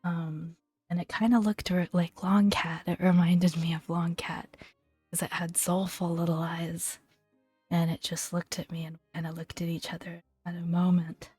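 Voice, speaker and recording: A woman hosting a soft-spoken ASMR gaming video, talking gently and fondly in a hushed voice that often slips toward a whisper. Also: fairly low pitch, flat delivery, moderate pace, breathy edge, dry recording, clean studio sound, faint music behind. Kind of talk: soft-spoken ASMR, relaxed commentary and read-aloud entries.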